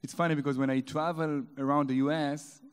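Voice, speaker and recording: A man's voice: a little high, level tone, a little fast, clear, resonant tone. A man with an Israeli accent, a company chief executive speaking on a conference panel in a chatty, anecdotal way with frequent hesitations. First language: Hebrew. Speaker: real estate company CEO, panelist. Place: large conference hall. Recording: reverberant conference hall.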